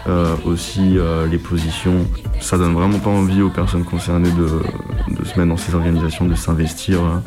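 A man with a very low voice gives an informal spoken testimony. He talks hesitantly, searching for his words as an activist would when recounting experience. Music plays clearly underneath him. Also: little pitch movement, moderate pace.

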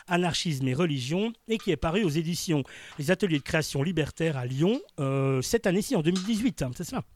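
A man's voice: a little high, expressive, fast. A man hosting a live radio interview from a studio, often rephrasing his questions as he goes. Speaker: radio host.

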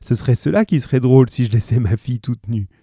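A man's voice: medium pitch, quick pace, warm tone, husky, no room sound, clean studio sound. A man voicing an anxious, pleading poor young mother, hesitant and earnest, in an audiobook. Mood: indignant, proud.

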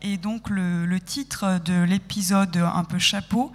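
A woman in her thirties, a radio guest, explaining her project in a thoughtful, halting way and correcting herself often. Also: fairly low pitch, steady tone, in a large hall. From France. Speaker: guest, sound podcast maker. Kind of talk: radio interview conversation.

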